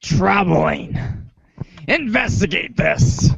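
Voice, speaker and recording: A man playing a bossy, irritable commander with a gravelly voice, barking orders in a storybook fan dub. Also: high-pitched, lively intonation, extremely slow, a bit bright, highs cut off, slight background noise, exaggerated voice. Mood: bossy, exasperated.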